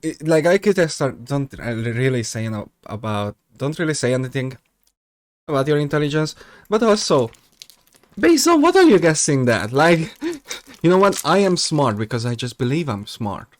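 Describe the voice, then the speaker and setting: A man's voice: mid-range voice, no echo. A young man hosting a reaction video, sarcastic and rambling, his voice jumping up when exasperated. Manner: rambling with many fillers and restarts. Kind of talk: mocking reaction commentary.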